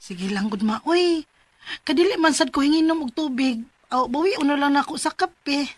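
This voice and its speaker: An actress playing a sleepy, stubborn young college student in a radio drama, drawling and whiny. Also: female voice, high-pitched, animated, moderate pace, no room sound. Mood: nonchalant.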